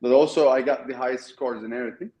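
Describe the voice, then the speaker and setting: A middle-aged man with a Turkish accent, a guest in an interview, talking in a halting way with many restarts. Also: medium pitch, steady tone, mellow, dull audio. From Turkey. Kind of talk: casual interview talk.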